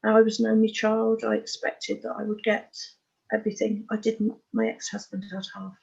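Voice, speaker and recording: Older English woman, sixties, husky voice on slightly dull audio, giving a frank, unpolished personal account as a guest. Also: fairly high pitch, flat delivery, moderate pace, a little mellow. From Oxfordshire, England. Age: 60s. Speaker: interview guest. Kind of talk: candid personal life story in conversation.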